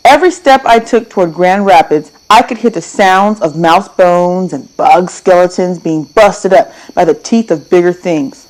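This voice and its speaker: A woman's voice: fairly low pitch, a little mellow, rough recording. A woman narrating a children's novel in the first person, telling it expressively with stressed phrases. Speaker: read-aloud narrator.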